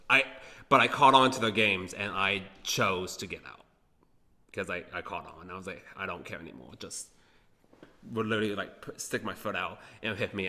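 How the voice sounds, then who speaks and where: A young man speaking as a podcast guest from an apartment clubhouse. He talks hesitantly, with many "um" pauses. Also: sits low, pushed and bright. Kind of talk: casual conversational interview. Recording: echoey room with long reverb.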